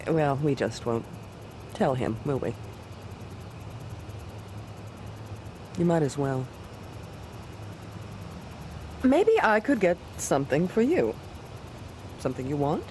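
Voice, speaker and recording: An actress playing an imperious, manipulative commander's wife in an audio drama, haughty and theatrical. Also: female voice, deep voice, sing-song, normal speed, background noise. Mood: sly, bargaining.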